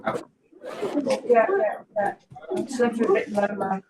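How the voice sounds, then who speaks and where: A woman's voice: on the high side, slow. A woman giving short casual replies before a meeting. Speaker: meeting attendee. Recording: distant, echoey, crowd noise.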